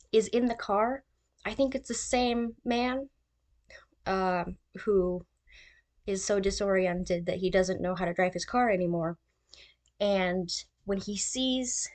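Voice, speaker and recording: A woman's voice: medium pitch, darkish tone. A woman giving casual theory commentary as a video essayist, thinking aloud in an informal, tentative way.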